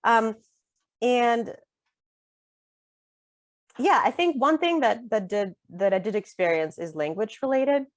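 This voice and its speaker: A woman's voice: on the high side, lively intonation, slow pace, long pauses, dry recording, studio quality. A middle-aged woman whose first language is Russian, an author speaking casually in an interview with many fillers and easy laughter. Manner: chatty, many fillers and restarts, laughs easily. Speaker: author and guest. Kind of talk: conversational interview.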